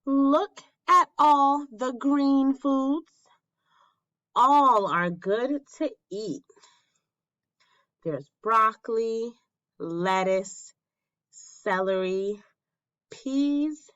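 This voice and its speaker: A woman reading a children's picture book aloud as a teacher, with simple, deliberate phrasing and questions for young listeners. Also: on the high side, a bit bright, smooth, lo-fi sound.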